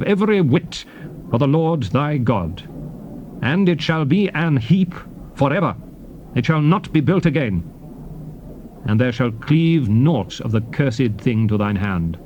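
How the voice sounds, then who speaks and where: A man narrating a dramatized Bible reading in a solemn, stately, emphatic manner, declaiming in short measured phrases. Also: mid-range voice, darkish tone, highs cut off. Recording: hissy old cassette tape.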